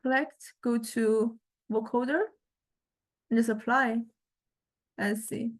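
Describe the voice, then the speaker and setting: Higher-voiced woman, halting instructor. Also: steady tone. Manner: halting, thinking aloud, tentative explanations. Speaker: music technology instructor. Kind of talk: informal software demonstration lecture. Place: recorded software lesson.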